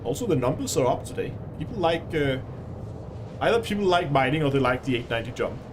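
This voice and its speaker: A man's voice: mid-range voice, expressive, fast talker, noisy background. A man with a Danish accent hosting a casual gaming livestream, chatting with viewers and laughing often. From Denmark. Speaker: livestream host.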